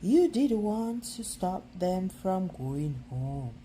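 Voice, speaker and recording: A woman's voice: mid-range voice, big pitch swings, slow, mellow, a little hiss or hum. A woman voicing a breezy, reassuring, unflappable schoolteacher from a children's storybook. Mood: breezy, upbeat.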